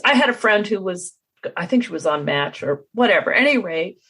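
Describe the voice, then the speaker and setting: A woman's voice: mid-range voice, lively intonation, brisk, fairly bright tone, breathy edge. A middle-aged woman hosting a podcast, chatting casually as she interviews a guest. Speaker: podcast host.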